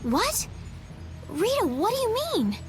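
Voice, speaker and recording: A woman voicing a gentle, earnest young princess, polite and pleading, in a video game cutscene. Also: very high-pitched, very animated, slow pace, faint music behind, full character voice.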